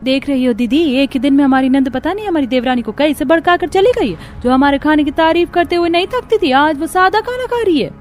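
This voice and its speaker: A woman's voice: high-pitched, animated, fairly quick, hardly any pauses, soft background music. A woman voicing a haughty, curt elder sister-in-law in a cartoon family drama. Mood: irritated. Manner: curt, snippy. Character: haughty, irritable elder sisters-in-law, defiant about cooking.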